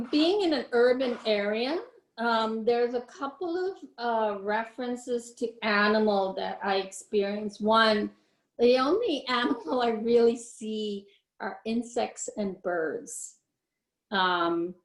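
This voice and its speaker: A woman in her fifties, an artist answering questions in a reflective, searching way over a video call, often pausing with ums. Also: fairly high pitch, lively intonation. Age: 50s. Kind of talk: thoughtful unscripted explanation. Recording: roomy echo.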